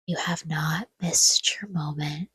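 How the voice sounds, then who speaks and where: A woman speaks in a very breathy, whispery voice, low in pitch and extremely unhurried. She guides a sleep meditation gently and soothingly, leaving long pauses between short, hushed phrases. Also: fairly even intonation, no room sound. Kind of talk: guided sleep meditation, scripted, soft-spoken.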